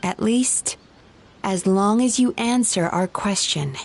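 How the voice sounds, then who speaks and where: A woman voicing a composed female dream therapist in a game, speaking in a measured, probing, gently firm manner. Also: medium pitch, a little hiss or hum. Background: game music.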